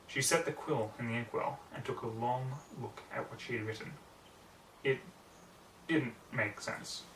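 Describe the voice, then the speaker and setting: A man's voice: medium pitch, a little slow, fairly bright tone. A man reading scripted fiction aloud as an amateur audiobook narrator. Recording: roomy, distant mic, faint background noise.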